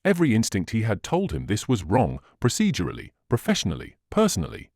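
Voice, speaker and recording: A man reads crime fiction as a dramatic audiobook narrator. His voice sits a little low and swings widely in pitch. The sound is close and dry. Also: wildly swooping pitch, quick pace, a little mellow, no echo.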